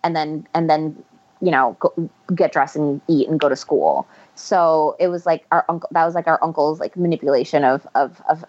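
A woman from Ecuador, a guest author, chatting casually in an interview and often restarting her sentences. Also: low voice. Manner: rambling, self-correcting, laughs often. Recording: muffled lo-fi audio.

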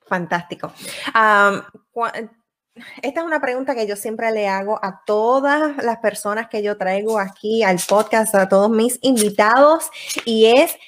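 A woman hosting a podcast interview, greeting her guest effusively and asking him about his life. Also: on the high side. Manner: warm and effusive. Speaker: podcast host. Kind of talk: casual interview.

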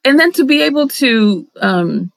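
A woman's voice: high-pitched, sing-song, normal speed, gravelly, no room sound. A middle-aged woman from the Dominican Republic, a guest in an interview, talking thoughtfully and articulately with frequent fillers and restarts. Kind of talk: reflective conversational interview.

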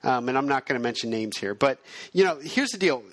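A man's voice: mid-range voice, lively intonation, fairly quick, no echo. A middle-aged man hosting a podcast, giving frank, conversational advice and dropping his voice for asides. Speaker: podcast host. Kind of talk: casual advice monologue.

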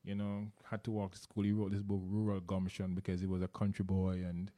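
Low, mellow Jamaican man. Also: completely flat delivery, a little fast. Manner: earnest, repeats words while searching for them. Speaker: guest. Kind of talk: casual interview.

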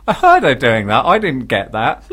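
A British man co-hosting a radio show, chatting casually and telling self-deprecating comic stories in an animated way. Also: a little high, slow, husky. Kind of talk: casual comic chat, anecdote.

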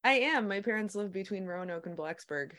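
A woman in her forties, chatting in a relaxed conversation, laughing easily and making quick asides. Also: medium pitch. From New Jersey/New York, USA. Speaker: podcast host and guest. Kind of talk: casual conversation.

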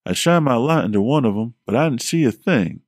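A man's voice: mid-range voice, lively intonation, fairly quick, dry recording, clean studio sound, close to an everyday voice. A man voicing a blunt, joking plumber with a Vermont accent and a folksy, crude way of talking. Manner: folksy, drawling, a bit crude.

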